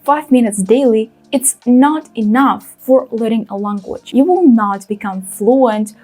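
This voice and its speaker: A woman's voice: fairly high pitch, warm tone. A young woman whose first language is Ukrainian, giving direct, opinionated advice to camera as a language vlogger. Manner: direct, opinionated, with quick asides. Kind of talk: casual talking-to-camera advice.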